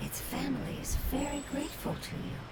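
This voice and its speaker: A woman playing a gentle, polite young female interpreter in a video game, explaining things earnestly. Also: sits high, big pitch swings, a little fast, very noisy. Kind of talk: video game dialogue acting.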